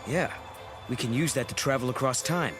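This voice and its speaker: A man's voice: mid-range voice, moderate pace, natural voice. An actor playing a plain-spoken young male adventurer in a video game, talking in a casual, laid-back way. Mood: matter-of-fact. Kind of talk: video game English dub acting. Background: music.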